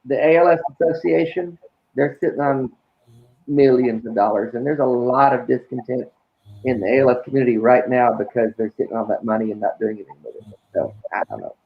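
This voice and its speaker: A man with a raspy voice, chatting casually as a guest. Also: medium pitch, fairly quick, muffled recording. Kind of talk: casual chat.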